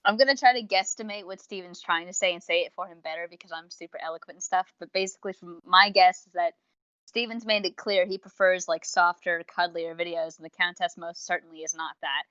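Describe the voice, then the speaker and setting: A woman's voice: fairly low pitch, steady tone, brisk, sharp tone, clear, resonant tone, no room sound, highs cut off. A woman co-hosting a casual review podcast, explaining her opinions emphatically. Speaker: podcast co-host reviewing audio series.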